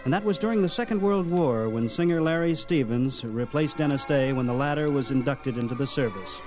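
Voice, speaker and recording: A man reads scripted documentary narration in a mid-range voice, like the host of a radio history show. Soft music sits behind him. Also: mid-range voice, brisk, low-quality recording.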